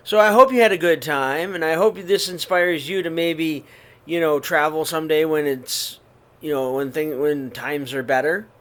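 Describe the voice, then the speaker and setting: A middle-aged man reading a children's picture book aloud in his living room, then talking warmly to young listeners, with a gentle, unhurried pace and the odd throat clear. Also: on the high side, steady tone. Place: living room, seated on a sofa before curtains. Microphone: webcam or laptop camera at close range.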